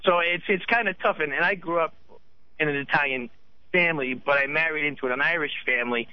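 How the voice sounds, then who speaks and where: Fast-talking male radio caller. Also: fairly high pitch. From Rhode Island, US. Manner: rambling, many restarts. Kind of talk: phone-in chat. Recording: slightly dull radio audio.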